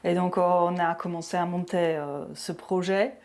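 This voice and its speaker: Soft-spoken woman from Slovakia. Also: fairly low pitch, fairly even intonation, moderate pace. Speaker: interviewee, distillery farmer. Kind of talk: casual conversation.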